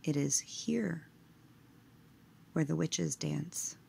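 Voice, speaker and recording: A woman leading a scripted guided meditation in a measured, hushed manner, letting her phrase endings trail away. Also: deep voice, vocal fry, a little hiss or hum.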